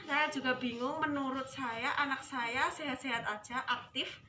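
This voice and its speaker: A woman's voice: sits high, fairly even intonation, moderate pace, pushed and bright. A woman playing a polite, puzzled working mother aged twenty-nine in a rehearsed counselling role-play. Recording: echoey room with clear background noise.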